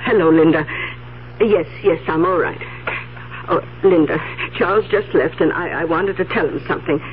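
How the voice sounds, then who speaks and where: An actress playing an anxious, indignant elderly aunt in a radio drama, halting and repeating her words as she goes. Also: on the low side, natural voice. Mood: polite. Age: older. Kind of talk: 1970s radio drama acting. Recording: muffled, faintly hissy vintage radio recording.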